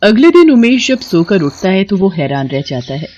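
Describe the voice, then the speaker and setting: A woman with a slightly husky voice reading a scripted moral tale as a storyteller. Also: mid-range voice, sing-song, normal speed, a little mellow, highs cut off, slight background noise.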